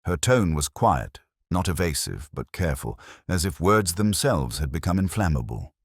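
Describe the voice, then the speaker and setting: A man voicing a wry, literary middle-aged male detective, narrating a mystery in a measured, reflective first person. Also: sits low, big pitch swings, breathy edge, dry recording, clean studio sound, heavily acted. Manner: measured, reflective, quietly observant.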